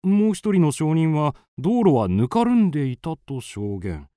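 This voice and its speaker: An actor playing an earnest, easily astonished friend of a detective, with dramatic, halting radio drama delivery. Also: male voice, fairly high pitch, sing-song, mellow, dry recording, studio quality. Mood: puzzled, reading. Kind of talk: Japanese radio drama acting.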